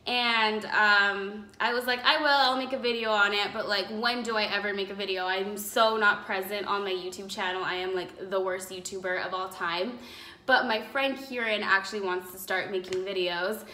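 A young woman in her twenties chatting to camera in a vlog, rambling with lots of fillers, her voice slightly raspy from a cough, filmed on a phone in a living room. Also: medium pitch, steady tone, normal speed, sharp tone. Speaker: vlogger. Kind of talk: casual chat to camera. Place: apartment living room, indoors. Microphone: phone camera in front, seated. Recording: echoey room on a phone mic.

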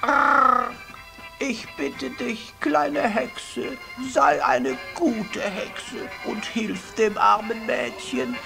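An actor voicing a kind-hearted talking raven with a croaking, cawing voice in a children's audio play. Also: medium pitch, very slow, over music, heavily acted, low-quality recording. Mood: pitying, pleading. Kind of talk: children's radio play acting.

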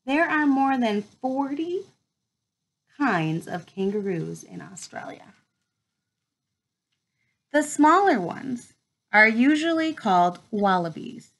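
Woman doing a kids nature book read-aloud, highish voice, really animated up and down. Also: low-quality recording. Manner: expressive storytime reading with brief asides. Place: small room at home, indoors.

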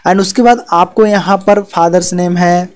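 A man's voice: high voice, fairly even intonation, a little slow. A man, hosting an education channel and talking through a document on a shared screen. He repeats himself a lot and keeps filling in with "yahan par" and "theek hai". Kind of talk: screen-share explainer walkthrough. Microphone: voice-over on screen recording.

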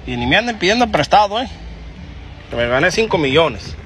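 Bright, boastful man on phone clip. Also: sits high, sing-song, a little fast. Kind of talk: casual boasting to camera. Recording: played-back phone clip, faint noise.

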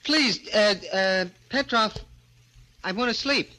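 A man playing a desperate, excitable little man who pleads and stammers, in 1940s radio thriller style. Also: sits high, slow pace, heavily acted. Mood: nervous stalling. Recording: noisy old 1940s radio recording.

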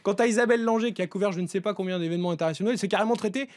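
High-pitched, fast-talking French radio host. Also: male voice. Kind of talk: lively broadcast interview hosting. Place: radio studio round a table. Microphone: desk studio microphone.

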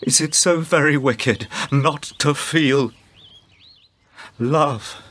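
An actor with an English accent playing a cold, exasperated husband in a radio play, stiff, formal and pompous. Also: male voice, on the high side, very slow, fairly bright tone, heavily acted. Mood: cold, weary. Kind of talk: period-flavoured radio play acting.

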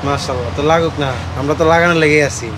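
A young man giving quick, agreeable short answers at an outdoor shop front. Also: a little high, steady tone. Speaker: nephew being interviewed. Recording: noisy outdoor camera mic.

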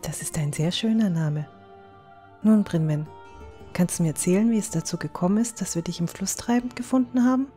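A woman playing a tender-hearted young dwarf in an audio drama, talking gently and soothingly. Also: fairly low pitch, a little fast, soft background music, close to an everyday voice.

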